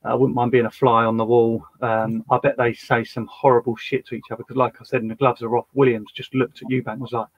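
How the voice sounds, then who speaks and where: A man's voice: medium pitch, level tone, fast, few pauses, no echo, dull audio. A man co-hosting a podcast, chatting in an easygoing, jokey way. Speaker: podcast co-host. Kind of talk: casual chat.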